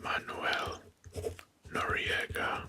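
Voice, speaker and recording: A man narrating facts as ASMR in a hushed, breathy whisper, halting and softly repeating words. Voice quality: breathy, mostly unvoiced whisper.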